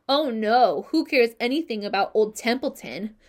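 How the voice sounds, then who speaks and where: Woman putting on a grouchy male rat, sarcastic, whiny, high and lively. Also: normal speed, exaggerated voice. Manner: sarcastic, mocking, put-upon. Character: grumbling lazy self-pitying male rat.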